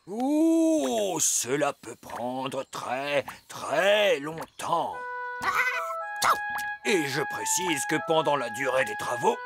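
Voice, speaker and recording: A man's voice: fairly high pitch, very animated, very noisy, exaggerated voice. A man voicing a pompous, self-assured old wise elf in a cartoon. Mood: know-it-all. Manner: pompous, deliberate.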